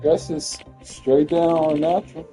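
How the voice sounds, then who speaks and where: A young man talks loosely over a video game, swearing and rambling as he plays. His voice is dark and mellow, with faint music underneath. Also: fairly high pitch, little pitch movement, unhurried. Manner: laid-back, swears casually, rambling. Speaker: gamer playing on stream. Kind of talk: casual chat while gaming.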